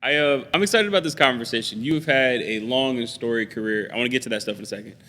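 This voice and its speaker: A man hosting an interview podcast, upbeat and quick to laugh, sometimes stumbling as he frames his questions. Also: mid-range voice, pushed and bright, slight background noise. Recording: roomy reverb.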